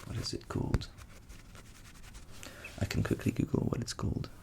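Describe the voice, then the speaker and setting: A young man who grew up in England with Ukrainian as his first language, whispering softly as an ASMR host answering viewer questions and thinking aloud. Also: moderate pace, background noise. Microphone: studio USB microphone.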